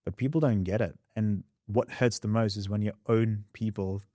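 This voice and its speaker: Animated man with Australian-English accent. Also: fairly low pitch, no room sound, studio quality. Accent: mixed Australian and English. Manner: animated, quick storyteller who acts out past scenes. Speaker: podcast guest. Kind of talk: candid interview storytelling.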